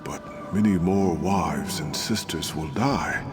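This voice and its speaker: A man voicing the solemn spirit of an ancient warrior king in a fantasy game, speaking in a grave, measured, oratorical way. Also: low voice, music in the background. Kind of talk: scripted fantasy game acting.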